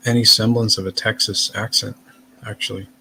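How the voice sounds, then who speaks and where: A man with a lowish voice talks in an easy, rambling way, stopping and starting with lots of fillers. It sounds like a relaxed live stream chat. A faint hiss of noise sits under him. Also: normal speed, gravelly, poor audio. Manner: rambling, hesitant, many fillers. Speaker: guest.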